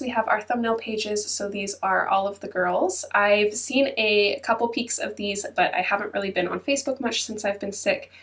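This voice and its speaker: A woman's voice: mid-range voice, fairly bright tone, far from the mic. A woman hosting her own channel, chatting casually over a flip-through with a congested, stuffy-nosed voice and plenty of ums and hedges. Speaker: channel host. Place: at her computer.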